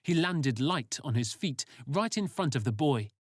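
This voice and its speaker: Male audiobook narrator for sci-fi fiction, unhurried scripted delivery, dry close sound. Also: on the high side, lively intonation, no room sound.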